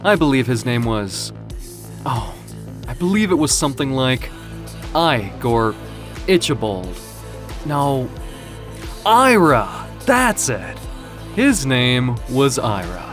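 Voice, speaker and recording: A man playing a cocky, flamboyant villain in an audio drama, gloating theatrically over his captive with savoring pauses. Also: mid-range voice, very animated, music in the background. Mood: mocking, smug. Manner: theatrical, taunting, savoring words with drawn-out pauses.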